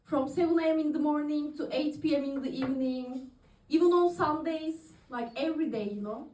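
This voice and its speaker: A woman's voice: high voice, brisk, darkish tone, distant mic. A woman in her thirties from Turkey doing open-mic stand-up comedy, chatty and laughing at her own jokes. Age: 30s. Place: comedy club stage, audience in the room. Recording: echoey room recorded from afar.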